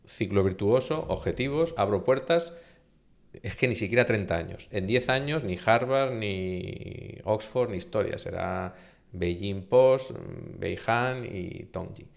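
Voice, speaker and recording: Low gravelly Spanish man, emphatic. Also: a little slow. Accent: Castilian Spanish. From Spain. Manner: emphatic, animated with rhetorical questions. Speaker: university vice-rector, guest. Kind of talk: conversational interview.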